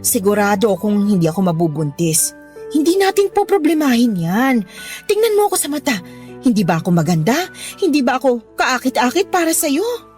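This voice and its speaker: Lonely, seductive widow, swooping voice. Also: female voice, fairly high pitch, sing-song, fairly quick, darkish tone. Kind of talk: Tagalog radio drama acting. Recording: background music under the voice.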